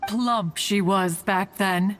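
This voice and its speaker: A woman's voice: mid-range voice, slow pace, slight background noise, close to an everyday voice. A woman voicing an ancient, haughty yet fond immortal guardian in a video game, speaking in a pompous, archaic, formal manner. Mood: fondly reminiscing. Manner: pompous, archaic, formal, calls herself "one". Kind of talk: anime video game voice acting. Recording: music behind the voice.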